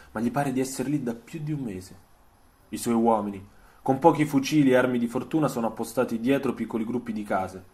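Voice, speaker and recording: A man narrating a historical story for radio in a literary, scripted storytelling style. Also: medium pitch. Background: soft musical soundtrack.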